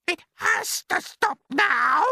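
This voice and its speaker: A man voicing a bossy, spiteful middle-aged aunt who screeches and yells her lines in a storybook reading. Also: very high-pitched, lively intonation, slow pace, gravelly, dry recording, clean studio sound, pitched above the performer's own voice, full character voice. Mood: shrieking. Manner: screeching, yelling.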